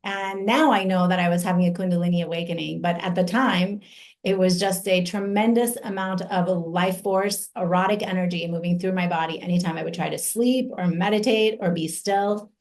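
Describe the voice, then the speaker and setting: A woman in her forties who grew up in Iran, giving an earnest personal testimony as an invited speaker, slow and deliberate with emphatic pauses. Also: lowish voice, fairly even intonation, a little mellow.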